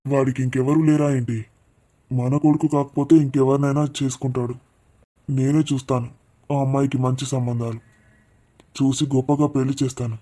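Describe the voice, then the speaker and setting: An upright father, played by a man, rebukes his wife firmly in a mid-pitched, flat voice. He sounds indignant and protective in a melodramatic drama. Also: normal speed, pauses often, lightly acted.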